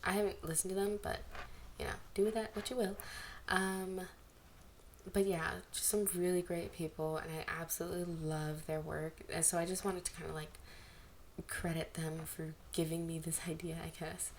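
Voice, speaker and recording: A woman's voice: lowish voice, little pitch movement, normal speed. A woman with an informal, gushing manner, reading fan fiction and chatting casually to listeners as an amateur narrator. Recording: amateur home recording with background noise. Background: background noise.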